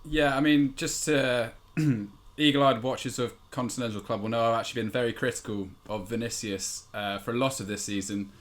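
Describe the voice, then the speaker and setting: A man giving conversational football analysis as a podcast pundit, measured and articulate. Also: medium pitch, fairly even intonation.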